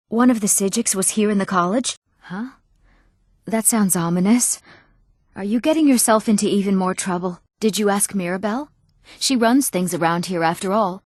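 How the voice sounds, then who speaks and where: A woman playing an eager, earnest young townswoman in a fantasy video game, with expressive, clearly enunciated delivery. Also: mid-range voice, dry recording, studio quality, lightly acted. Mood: curious. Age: young adult. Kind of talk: scripted fantasy game character dialogue.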